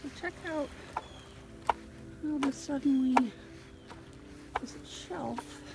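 A woman's voice: high-pitched, takes it slowly, creaky, over loud music. A woman vlogging outdoors on a lakeshore beach, musing aloud to her viewers as she looks for rocks on a handheld phone. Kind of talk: casual narration while rockhounding.

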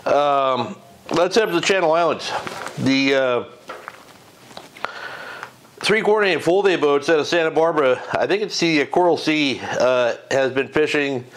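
A man's voice: mid-range voice, a bit bright. A middle-aged man hosting a fishing report from his garage, talking off the cuff with lots of fillers and restarts into a lapel mic. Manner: rambling, many fillers and self-corrections. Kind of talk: casual unscripted fishing report. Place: home garage, in front of a green screen.

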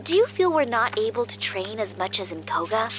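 An earnest young noblewoman warrior from a video game. She is formal and gracious, with lively intonation over faint music. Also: a little high, fairly quick, rough recording. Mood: earnest, polite.

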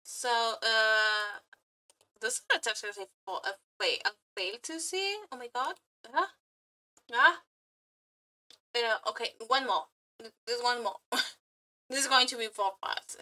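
A woman streamer giving casual live reactions, excitable, scattered and exclamatory, with some swearing. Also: fairly high pitch, a little slow, low-quality recording. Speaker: streamer reacting to game trailers. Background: background music.

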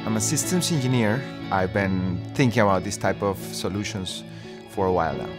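A man's voice: lowish voice, moderate pace. A man in his thirties with a Colombian accent, a systems engineer explaining his project earnestly in an interview. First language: Spanish. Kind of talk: documentary interview. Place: indoor maker workshop. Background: background music.

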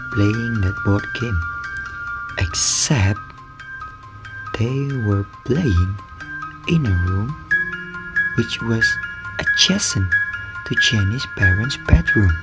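A woman storyteller giving a dramatic reading of a scary story, with deliberate, suspenseful phrasing over music. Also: extremely low pitch, expressive, gravelly. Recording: music behind the voice. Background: chiming mallet music.

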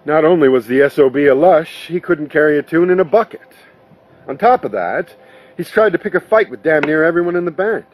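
A man voicing a glad-handing nightclub owner in a storybook, chummy and persuasive, with a husky voice. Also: a little high, expressive, a little fast, slight background noise, lightly acted. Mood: grumbling.

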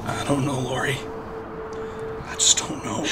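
A man's voice: medium pitch, flat delivery, measured pace, heavy background noise, close to an everyday voice. A man playing a worried, weary father in a comic dub. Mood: subdued, worried.

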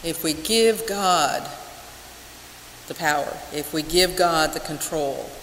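An older woman preaching a sermon as a minister, measured and deliberate with emphatic pauses. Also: low-pitched, a bit bright. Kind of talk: church sermon. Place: large wooden church sanctuary, at the pulpit. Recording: echoey church hall with hiss.

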